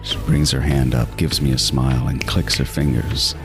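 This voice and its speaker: A man's voice: a bass voice, level tone, normal speed, over music. An actor playing a trapped male serial killer in a horror audio drama, obsessive and desperate, moving between intense narration and pleading. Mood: grim, haunted. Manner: intense, swinging from murmured narration to frantic pleading.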